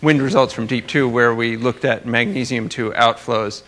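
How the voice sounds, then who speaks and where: A man giving an academic conference talk as a researcher, halting and rephrasing as he explains his slides. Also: mid-range voice, steady tone, fairly bright tone. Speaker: astrophysics researcher presenting at a conference.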